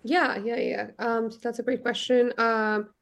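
A woman's voice: fairly high pitch, little pitch movement, measured pace, vocal fry. A young woman from Pakistan, a novelist answering radio interview questions thoughtfully, with lots of ums and restarts.

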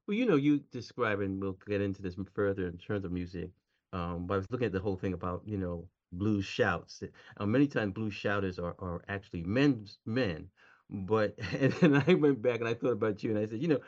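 A man's voice: fairly low pitch, a little fast, a little mellow. Laughing male interviewer, dull lo-fi sound. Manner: chatty, laughs a lot, rambling questions. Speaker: interview host. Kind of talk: casual interview conversation.

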